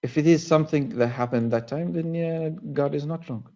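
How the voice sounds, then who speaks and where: A man with an Arabic accent, a native Arabic speaker, arguing as a caller in halting, repetitive, defensive English over lo-fi call audio. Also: on the high side, darkish tone. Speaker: caller in a debate. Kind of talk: argumentative live debate.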